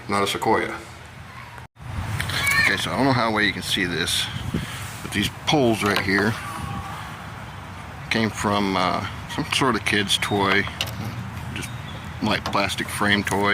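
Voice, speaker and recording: An American man from Indiana giving a casual, plain-spoken, unhurried how-to demonstration as a hobby vlogger, pausing as he works. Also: fairly low pitch, noisy background. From Indiana, United States. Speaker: amateur radio hobby vlogger. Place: outdoors.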